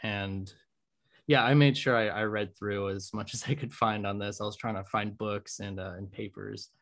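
Podcast host, male, twenties, fairly low voice, halting informal questions, headset on a video call. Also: fairly even intonation, fairly bright tone. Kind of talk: casual interview questioning. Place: home room, indoors.

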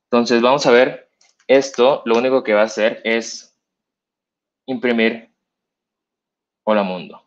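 Mexican man explaining code, halting. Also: medium pitch, steady tone. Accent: Mexican Spanish. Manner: explanatory, halting, many fillers and restarts. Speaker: meetup speaker. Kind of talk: live coding demo. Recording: dull lo-fi stream audio.

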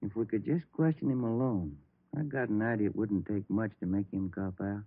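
An American actor playing a plain-spoken police detective sidekick in 1950s radio drama, folksy and direct. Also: male voice, fairly low pitch, fairly quick, no echo. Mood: direct, curious. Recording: muffled, dry vintage radio recording.

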